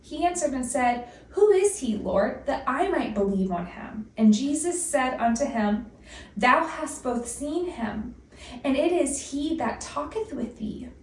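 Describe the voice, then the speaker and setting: A woman giving a solemn, deliberate read-aloud of old-fashioned Bible scripture. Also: sits high, expressive, slight background noise. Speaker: scripture reader. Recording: echoey room, distant microphone.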